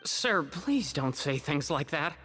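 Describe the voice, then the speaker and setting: Nervous young researcher, bright tense voice, stammering, earnest, long reverb, video game. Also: male voice, a little high, sing-song, slow, faint music behind, exaggerated voice. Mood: flustered. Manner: hesitant, stammering, modest.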